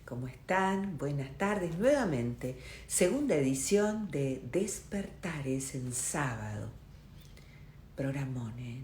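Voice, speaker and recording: A woman's voice: extremely low pitch, reverberant, slight background noise. A woman hosting a live online interview, chatting and greeting viewers by name before bringing on her guest. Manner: chatty, warm greetings, quick asides. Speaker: host. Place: home in Miami.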